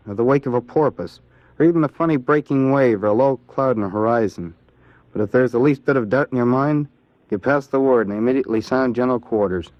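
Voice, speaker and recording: A man with an American accent answering in a scripted 1940s radio interview as a Navy seaman, in a plain, earnest, measured way. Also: mid-range voice, no room sound, natural voice. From Ohio, United States. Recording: dull vintage 1940s radio recording.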